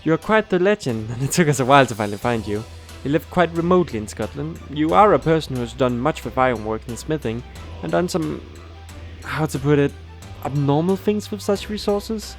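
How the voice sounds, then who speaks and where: A man's voice: fairly high pitch, expressive, fairly quick, soft background music. A man playing a courteous, encouraging board interviewer in an amateur audio drama, speaking formally. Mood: courteous, impressed.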